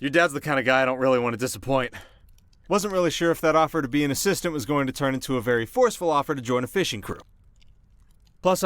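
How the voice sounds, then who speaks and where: Audio roleplay role, adult male performer: cocky young delinquent boy, earnest and nervous, casual animated delivery, dry recording. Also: medium pitch, dry recording, natural voice.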